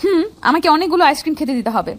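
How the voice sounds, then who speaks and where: A woman's voice: sits high, expressive, rapid-fire. A woman playing a playful, demanding young wife in a romantic audio story, coy and insistent. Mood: pouty, insistent.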